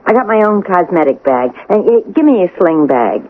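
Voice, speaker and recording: Brash, pushy gangster's moll. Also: female voice, on the low side, sing-song, fairly quick. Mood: impatient, bossy. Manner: brash, impatient, streetwise. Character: tough, pushy gangster's sister bossing her man. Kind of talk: radio drama acting. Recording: tinny, hissy old radio broadcast.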